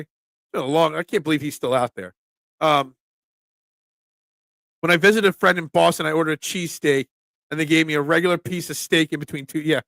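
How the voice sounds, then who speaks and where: Chatty Philly-accented sports host, gravelly. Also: male voice, a little high, no room sound. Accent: Philadelphia. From Philadelphia area. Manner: animated, chatty, swears casually, answers viewers by name. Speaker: sports talk show host. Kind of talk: casual live-stream sports talk, reading chat.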